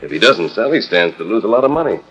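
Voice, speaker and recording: An American actor playing a heroic, serious cowboy lawman with a husky voice and formal delivery in a 1940s radio western. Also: lowish voice, big pitch swings, fast, fairly bright tone, exaggerated voice. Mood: serious, watchful. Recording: muffled 1940s radio transcription.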